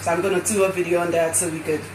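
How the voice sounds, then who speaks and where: A woman's voice: fairly low pitch, racing, roomy sound, noisy background. A woman with a Trinidadian accent, chatting boisterously on a live stream while working as a loctician in a hair salon. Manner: boisterous, animated, laughing. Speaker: loctician host.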